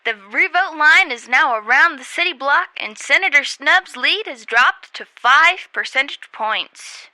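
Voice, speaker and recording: A woman acts a dramatic field reporter in a comedy radio play. The voice is extremely high and piercing, announcing earnestly in a very dry sound. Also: lively intonation, a little slow, hardly any pauses, sharp tone, no room sound, heavily acted, low-quality recording. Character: earnest female field news reporter at a polling place. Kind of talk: mock live news report in radio comedy.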